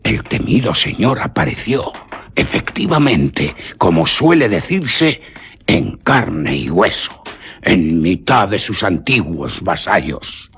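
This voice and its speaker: Deep, husky man telling dark tales. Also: big pitch swings. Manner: theatrical, deliberate, literary delivery. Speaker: narrator of a radio horror story. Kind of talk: dramatic scripted storytelling, radio theatre.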